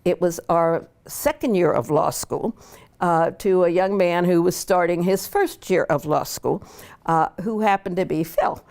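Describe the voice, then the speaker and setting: An older woman with a somewhat low-pitched voice recounts her life story in an oral history interview. She speaks in a reflective, unhurried way, often pausing on fillers and restarting her sentences. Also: vocal fry, darkish tone. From Pittsburgh, Pennsylvania. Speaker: law professor interviewee.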